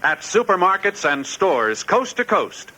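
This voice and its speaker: A man's voice: high-pitched, very animated, measured pace. A man announcing on 1950s radio in a formal, emphatic manner. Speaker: radio show and commercial announcer. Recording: noisy old 1950s radio recording.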